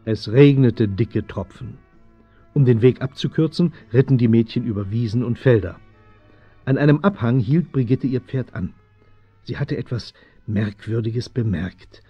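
A man's voice: mid-range voice, measured pace, breathy edge, faint music behind. A man narrating a children's radio play as a scripted storyteller. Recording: dry, slightly dull 1970s studio recording.

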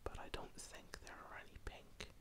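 A man in his thirties with a Northern Irish accent, whispering a rambling, self-deprecating ASMR guide close to the mic. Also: moderate pace. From Northern Ireland. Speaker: ASMR host. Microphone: close-up mic with wind pops.

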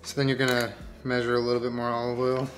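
A man's voice: mid-range voice, completely flat delivery, fast, slight background noise. A young man joking around as he cooks in his home kitchen, in casual, goofy banter. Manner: joking, playful, teasing. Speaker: vlogger cooking at home. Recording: roomy kitchen with music behind.

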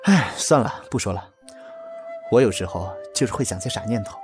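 Male actor as young soldier, gruff, scoffing, proud, lively swooping pitch, audio drama, music behind. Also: normal speed, natural voice. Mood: wistful. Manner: brusque, scoffing, teasing. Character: proud, stubborn young male army battalion commander, gruff but fond. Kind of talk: Chinese audio drama acting. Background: background music.

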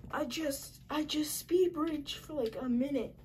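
A woman giving casual commentary while playing a game, muttering and mocking herself in frustration, a little raspy at times. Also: sits high, measured pace, noisy background. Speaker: gaming channel host.

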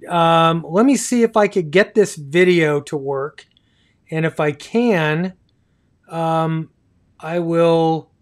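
A middle-aged man with a notably high voice hosts a live online chat. He speaks slowly and haltingly, with a courteous, casual manner toward his guests. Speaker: live show host. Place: small home office, indoors.

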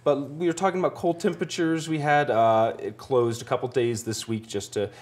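A man's voice: medium pitch, steady tone, fairly quick, darkish tone. A man in his thirties giving a casual, promotional interview as a spokesman in a TV studio, easygoing and a little salesy. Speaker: ski area spokesman, TV guest. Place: small TV studio, seated on couch.

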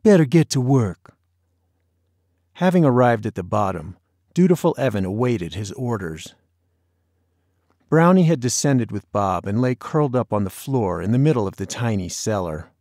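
A man's voice: lowish voice, sing-song, moderate pace, a little mellow, no echo, studio quality. A man narrating a novel in a scripted storytelling style. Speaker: audiobook narrator.

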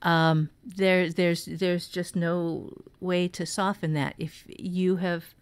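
A woman's voice: lowish voice, level tone, slow, clean tone. Emphatic woman giving writing advice. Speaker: writing teacher and podcast co-host.

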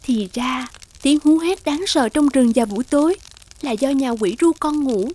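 A woman voicing a gentle, earnest young servant girl in an animated fairy tale. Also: high voice, normal speed, a little hiss or hum, close to an everyday voice. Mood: thoughtful. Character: gentle young servant girl who sings folk songs. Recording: music behind the voice.

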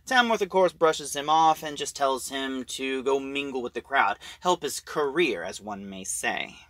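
A man giving an informal spoken review, retelling a plot in a halting, emphatic storyteller's way. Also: mid-range voice, animated, a bit bright, no echo.

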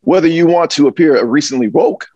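A man in his thirties playing a slick, upbeat concierge pitching a service at a script read, with a husky voice. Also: fairly quick, darkish tone, dry recording, lo-fi sound. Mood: upbeat, explaining. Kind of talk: comedic sales pitch, table read. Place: indoor office or storeroom. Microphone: wireless earbuds, video call.

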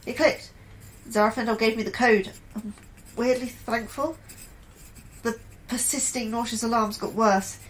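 An actress playing an anxious, troubled woman scientist in an audio drama, confiding her fears in a hesitant, halting way. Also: a little high, steady tone, slight background noise, lightly acted, poor audio. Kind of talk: audio drama acting, diary-like monologue and dialogue.

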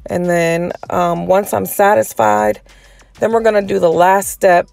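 A woman giving a casual step-by-step tutorial, sounding a little raspy and hoarse from a cold. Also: on the low side, sing-song, measured pace, darkish tone, no room sound, soft background music. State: getting over a cold, slightly hoarse. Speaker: tutorial host.

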